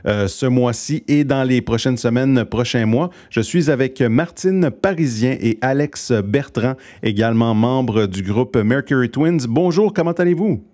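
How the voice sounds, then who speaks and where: A man's voice: mid-range voice, lively intonation, measured pace, hardly any pauses, warm tone. A man hosting an interview, asking questions with many fillers. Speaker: interview host. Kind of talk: radio-style interview.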